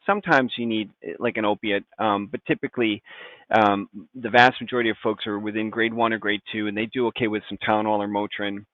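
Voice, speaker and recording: A man's voice: lowish voice, level tone, a little fast, dry recording. A middle-aged man, a doctor appearing as a guest expert, explaining things informally over a tinny connection. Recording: tinny phone-like band-limited line.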